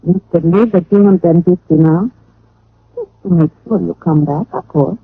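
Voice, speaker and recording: Husky, coy femme fatale. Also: female voice, on the low side, normal speed, no echo. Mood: seductive, teasing. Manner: coy, seductive. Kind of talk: 1940s radio detective drama acting. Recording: narrow, dry old radio recording.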